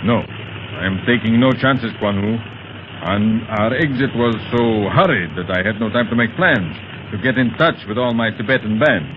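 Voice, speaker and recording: An actor playing a cold, scheming villain who leads a gang, speaking slowly and deliberately, in a 1930s radio drama. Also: male voice, on the low side, lightly acted. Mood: menacing. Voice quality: slow, deliberate menacing delivery. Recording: muffled vintage radio recording with hiss.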